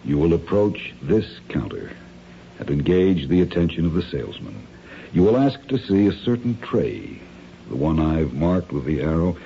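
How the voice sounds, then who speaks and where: Deep, dark-voiced smooth schemer. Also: male voice, sing-song, exaggerated voice. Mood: calmly instructing. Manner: measured, formal, condescending. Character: smooth, calculating male crime schemer, coolly menacing. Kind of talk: radio mystery drama acting. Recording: dull, hissy old radio recording.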